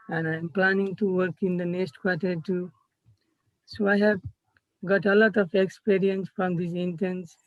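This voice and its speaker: Nepali-accented man, halting video-call presenter. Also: high-pitched, no echo. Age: young adult. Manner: halting, searching for words, frequent restarts. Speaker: student intern presenting. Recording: dull, slightly muffled video-call audio.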